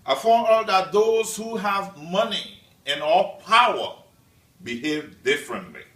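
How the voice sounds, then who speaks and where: Student speaker from Liberia, man, fairly high voice, unhurried prepared speech, heard off-mic. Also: big pitch swings, bright, forceful tone. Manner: slow, measured delivery with deliberate pauses. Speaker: student presenter. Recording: echoey room, mic at a distance.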